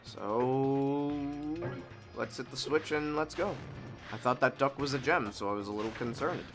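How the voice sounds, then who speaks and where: A man casually commenting on a video game he plays, sniffly from a recent sneeze, chatty and quick to swing between excited shouts and low mumbles. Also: soft background music. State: sniffly nose. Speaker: let's play host.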